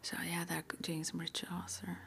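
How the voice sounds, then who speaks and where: Whispered ASMR roleplay: woman as gentle, reassuring witch school interviewer, unhurried, lowish and creaky, faint background noise. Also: monotone. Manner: soft, unhurried, reassuring, with murmured acknowledgements.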